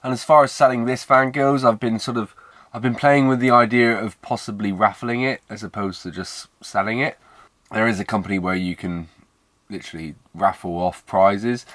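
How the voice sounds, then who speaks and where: Guy with a cold, raspy and lowish, just rambling on casually to the camera in his van. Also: no echo. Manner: relaxed, chatty, rambling asides. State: hoarse from a cold. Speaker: van life vlogger. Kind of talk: casual vlog chat to camera. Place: inside a camper van at a campsite.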